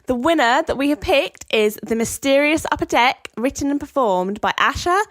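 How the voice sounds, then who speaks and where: A woman's voice: high voice, lively intonation, normal speed, a bit bright. A woman presenting a podcast as host, reading from a script.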